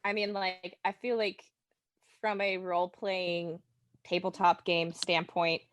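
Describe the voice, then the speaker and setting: A woman guest chatting casually and telling anecdotes, with halting starts and some laughter as she talks. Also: lowish voice, little pitch movement, dry recording, highs cut off. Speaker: podcast guest, tabletop player.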